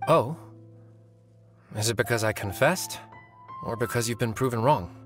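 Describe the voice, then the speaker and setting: A courteous male murderer from an anime game, acted by a man, with a mid-pitched voice. He speaks in an articulate, deliberate, composed way over game music. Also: fairly bright tone, natural voice. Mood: quietly bitter. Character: composed, polite male novelist confessing as a killer. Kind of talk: anime video game voice acting.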